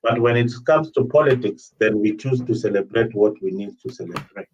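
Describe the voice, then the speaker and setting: A man's voice: medium pitch, brisk, a little mellow, a little hiss or hum. A man hosting a political livestream discussion, speaking emphatically and repeating his phrases for weight. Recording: dull, distant livestream call audio.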